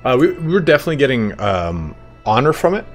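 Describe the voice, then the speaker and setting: A man in his thirties, a YouTube streamer chatting live with viewers while playing a strategy game, loud, excitable and profane. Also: soft background music. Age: 30s. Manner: loud, excitable and profane, quick swings in pitch.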